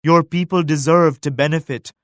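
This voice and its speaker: An actor voicing a devout, dignified Mughal emperor in a video game, speaking in a solemn, formal, measured manner. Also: male voice, on the high side, fairly even intonation, fairly bright tone, dry recording, studio quality, close to an everyday voice. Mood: solemn counsel. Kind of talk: scripted video game character lines.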